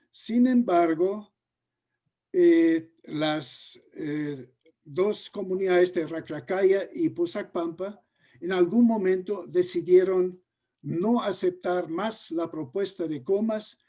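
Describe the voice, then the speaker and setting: A man explaining his research in an interview, deliberate and halting, often pausing mid-phrase. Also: sits high, dark tone. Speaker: interviewed sociologist.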